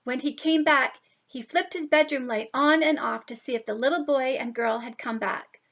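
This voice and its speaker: High-pitched woman reading a children's story. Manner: animated, drawn-out emphasis, asides to listeners. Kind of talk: expressive picture-book read-aloud for young children.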